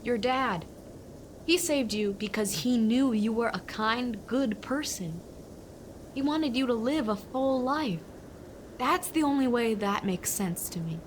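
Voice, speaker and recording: A woman playing a gentle, forgiving daughter who speaks with comforting wisdom in a family audio drama. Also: on the high side, expressive, measured pace, slight background noise. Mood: reassuring. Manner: soft-hearted, reassuring.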